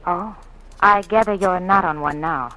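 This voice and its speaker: A woman's voice: fairly low pitch, measured pace, pushed and bright. An actress playing an anxious, secretive politician's wife in an old radio drama, hesitant and genteel in her speech. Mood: nervous, confiding. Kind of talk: 1940s radio drama acting, melodramatic. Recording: faintly noisy old radio recording.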